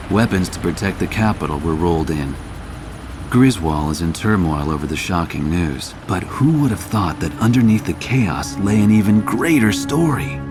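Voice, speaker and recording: A man playing a cynical, world-weary war reporter in a video game, narrating in a measured, wry way. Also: low voice. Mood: grave, intrigued. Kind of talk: scripted first-person narration. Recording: music behind the voice. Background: prominent background music.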